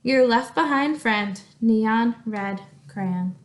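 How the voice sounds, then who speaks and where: A woman voicing an indignant, abandoned female crayon from a storybook, with dramatic, drawn-out reproach. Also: fairly high pitch.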